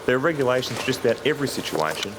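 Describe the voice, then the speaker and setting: An Australian man working as an air traffic controller, explaining his job in a measured, matter-of-fact way. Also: medium pitch, very animated. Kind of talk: career profile narration with radio phraseology.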